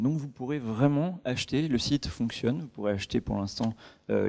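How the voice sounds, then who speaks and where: A man with a gravelly, dark voice presenting at a tech meetup. He speaks haltingly, restarting his sentences often and leaning on fillers. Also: medium pitch. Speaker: startup co-founder presenting at a meetup. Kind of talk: conference presentation.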